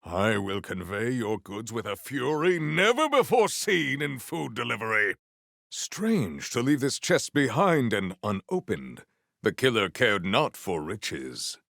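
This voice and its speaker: A male actor voicing a proud, potent warrior in a video game, with formal, grandiose, deadpan delivery. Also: mid-range voice, measured pace, creaky, no room sound, clean studio sound, heavily acted. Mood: solemn, grandiose. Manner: formal, stilted, grandiose diction. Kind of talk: scripted video game character lines.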